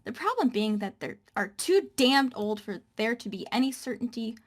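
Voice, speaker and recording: A woman's voice: on the high side, very animated, normal speed. A woman playing an earnest explainer of legends in an amateur fantasy table read. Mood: knowing.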